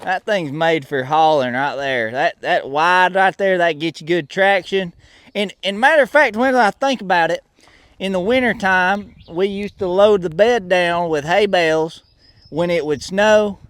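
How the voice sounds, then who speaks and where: A man acts out a folksy country cowboy who loves to brag about hard work. His voice sits high for a man, with a Southern American drawl and a bossy, homespun way of talking. The sound is close and dry, as in a comedy skit. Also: a little slow, a bit bright, clean tone, no echo. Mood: boastful, relaxed. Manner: drawling, folksy, bragging, calls listener son.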